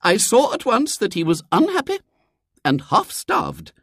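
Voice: mid-range voice, huge pitch swings, a little slow, no echo, studio quality, voice pushed up, exaggerated voice. A storyteller voicing a prim elderly lady in an audiobook, earnest, preachy and fussily sympathetic. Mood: righteous reproach.

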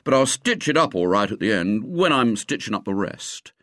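A man's voice: fairly low pitch, very animated, normal speed, fairly bright tone, no room sound, studio quality. A man voicing a brash, confident schoolboy ringleader, eager and breezy.

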